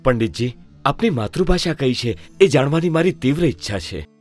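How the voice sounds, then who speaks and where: A man playing Emperor Akbar, lively and stately. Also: medium pitch, moderate pace, soft background music, close to an everyday voice. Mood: courtly. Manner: formal, courtly speech.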